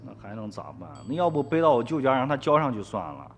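A man's voice: medium pitch, big pitch swings, quick pace, faint music behind, close to an everyday voice. An actor, sighing and pleading with his mother. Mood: whining. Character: poor young village man, guilty and pleading. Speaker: son. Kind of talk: radio drama acting.

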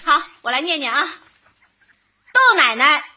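An actress playing an eager, agreeable, giggly community committee member in a light radio comedy. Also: female voice, high voice, big pitch swings, takes it slowly, full character voice. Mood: cheerful, announcing. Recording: dull audio with loud background noise.

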